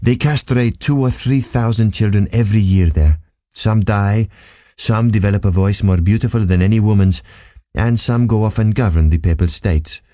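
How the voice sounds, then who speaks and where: Male narrator doing a gentle sentimental eunuch singer from italy, emotional, courteous, storybook style. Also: fairly low pitch, normal speed, no echo, studio quality, lightly acted. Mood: wistful. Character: friendly, tearful Italian eunuch singer, sentimental man.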